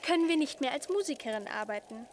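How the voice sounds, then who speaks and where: A woman's voice: sits high, expressive, fast talker, a little hiss or hum. An actress playing an earnest, eager young female musician in a radio drama. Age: young adult.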